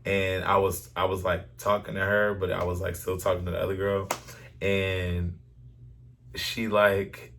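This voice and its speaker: A man's voice: sits low, little pitch movement, a little slow, vocal fry, fairly bright tone, slight background noise. A man chatting casually and telling stories in a playful, defensive, slangy way with plenty of swearing. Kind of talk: casual chat, joking storytelling.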